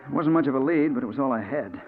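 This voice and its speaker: A man's voice: medium pitch, animated, rapid-fire, soft background music, natural voice. An actor playing a tough, dogged newspaperman in a 1940s radio crime thriller, both narrating and talking. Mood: grim narrating. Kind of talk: 1940s radio acting, first-person narration and dialogue. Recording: muffled, noisy 1940s radio recording.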